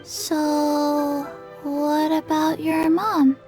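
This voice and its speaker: A woman voicing a small, blank little girl in a video game with a halting, deadpan delivery. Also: high voice. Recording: music behind the voice.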